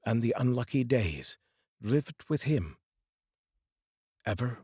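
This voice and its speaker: A man's voice: medium pitch, very animated, slow, a little mellow, slightly rough, no echo, studio quality. A man narrating a folk tale as a storyteller, reading aloud expressively.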